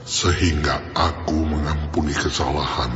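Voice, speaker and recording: A man's voice: rumbling low, steady tone. An actor voicing God as a solemn, commanding male voice in an audio Bible drama. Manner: slow, grave, commanding. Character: God speaking, vast deep solemn male voice. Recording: echoing hall reverb, muffled. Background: music.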